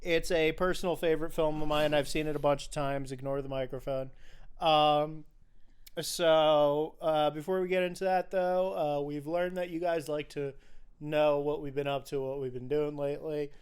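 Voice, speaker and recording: Male podcast host, higher pitch and smooth clear tone, casual and opinionated, in an echoey home room. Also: little pitch movement, moderate pace, a little mellow. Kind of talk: casual film podcast chat.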